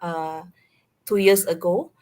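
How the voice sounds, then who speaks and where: Woman, middle-aged, Indonesian accent, slightly low voice, halting delivery, casual online guest. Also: steady tone, a little mellow. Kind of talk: casual live online talk.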